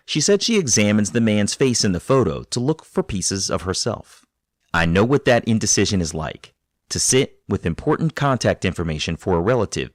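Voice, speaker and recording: A man hosting a podcast, narrating a guest's story and interviewing her in a calm, measured, encouraging way. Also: lowish voice, dry recording, studio quality. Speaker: podcast host.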